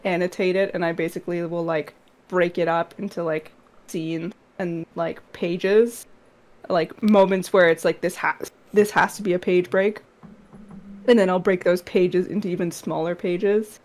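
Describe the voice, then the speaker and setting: A woman guest on a video call, slightly hoarse, explaining her work in a loose, rambling way with soft laughs. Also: lowish voice, steady tone, unhurried. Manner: rambling, many fillers, laughs softly. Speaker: guest comic artist. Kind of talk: casual interview answers on a video call.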